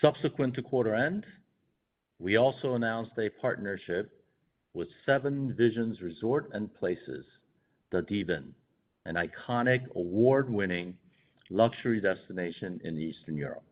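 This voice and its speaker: Male executive, low-pitched, formal and measured scripted investor-call read, slightly muffled band-limited audio. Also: lively intonation. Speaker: company executive. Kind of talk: scripted earnings call remarks.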